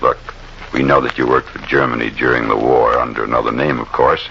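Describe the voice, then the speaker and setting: Very deep, gravelly federal agent. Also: male voice, fairly even intonation, fairly quick, bright, forceful tone, full character voice. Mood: smug, accusing. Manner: needling, accusatory. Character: hard, smug male FBI agent posing as lawyer. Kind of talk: old-time American radio drama acting. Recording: dull, noisy vintage radio recording.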